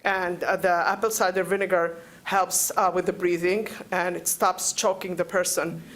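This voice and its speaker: Halting Palestinian-accented woman speaking publicly. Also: lowish voice, little pitch movement, fairly bright tone. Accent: Palestinian Arabic. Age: 40s. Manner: halting, searching for words, repeating phrases. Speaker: public commenter at a council meeting. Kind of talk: unscripted public speech, pleading. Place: council meeting room.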